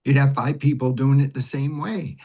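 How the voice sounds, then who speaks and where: A man's voice: mid-range voice, steady tone, moderate pace, a little mellow. A man speaking conversationally in an interview as an acting teacher and director, with an emphatic, instructive manner that leans on repeated phrases.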